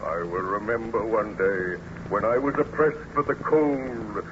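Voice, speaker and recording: Monster played by male actor: wretched, menacing, slow and declamatory; vintage radio horror, noisy, dull recording. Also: lowish voice, natural voice. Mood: mournful. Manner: slow, grave, declamatory, formal diction. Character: wretched hideous man-made monster, bitter, menacing, self-pitying. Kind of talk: old-time radio drama acting.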